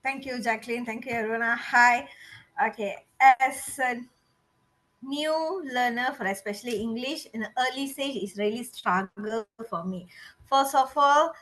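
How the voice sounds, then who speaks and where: A woman's voice: a little high, unhurried, fairly bright tone, poor audio. A woman whose first language is Tamil, giving an earnest personal panel talk at a club meeting. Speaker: panel speaker.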